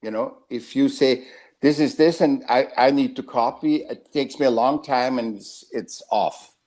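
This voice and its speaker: A man, a guest artist heard over a video call, wanders through his thoughts. His sentences stop and restart, and he circles back to find a word. His voice sits at an ordinary middle pitch for a man. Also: a little mellow. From Austria. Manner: rambling, halting, with many restarts. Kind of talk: casual interview talk.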